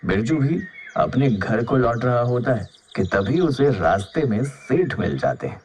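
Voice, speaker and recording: A man's voice: mid-range voice, very animated, measured pace, a little mellow, a little hiss or hum. A man narrating a scripted children's cartoon story as its storyteller.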